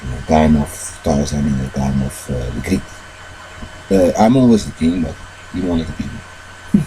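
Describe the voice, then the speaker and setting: A man telling a long, rambling personal story in halting English, with many fillers and loose grammar. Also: rumbling low, dark tone, slight background noise, rough recording. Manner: retells events with shifts into quoted dialogue.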